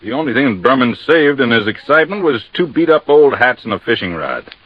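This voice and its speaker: An American actor playing a matter-of-fact, folksy Coast Guard captain explaining things in a 1950s radio drama. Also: male voice, mid-range voice, big pitch swings, fairly quick, a little hiss or hum, lightly acted. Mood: chatty. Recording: dull, dry vintage radio recording.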